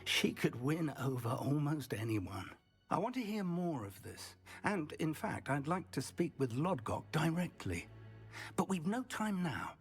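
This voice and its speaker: A composed, slyly resourceful wizard professor in a fantasy game. His voice is very husky and breathy, with lively intonation. He speaks articulately and with dry wit. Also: fairly high pitch, soft background music, full character voice. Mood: intrigued, grave. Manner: measured, articulate, dryly wry. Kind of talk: video game voice acting.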